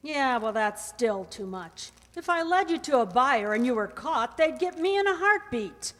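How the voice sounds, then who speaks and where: A woman's voice: high-pitched, very animated, moderate pace, fairly bright tone. A woman acting in an old-time radio crime drama as a hard-boiled, wary small-time go-between, curt, skeptical and slangy. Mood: dismissive, guarded. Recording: long reverb, hall-like room.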